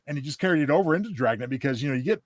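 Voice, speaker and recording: A man's voice: a little high, animated, racing, no room sound. A man chatting casually as a panelist on a show. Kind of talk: casual chat.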